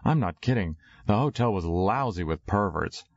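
Very deep-voiced male narrator, slangy. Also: lively intonation, moderate pace, lo-fi sound. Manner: slangy, wry first-person storytelling. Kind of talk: audiobook narration.

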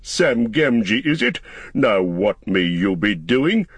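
A man voicing a wise old male wizard in a storybook, stern but fond, with an emphatic, commanding, deliberate manner. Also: fairly low pitch, very animated, fairly bright tone, slightly rough, no room sound, exaggerated voice. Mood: mock surprise.

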